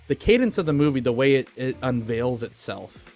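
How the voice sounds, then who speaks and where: A man talks as co-host of a casual film review podcast. His delivery is emphatic and informal, with easy swearing. Music runs clearly underneath him. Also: medium pitch, very animated, normal speed, a little mellow. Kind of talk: casual movie review chat. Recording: music playing behind the voice. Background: background music.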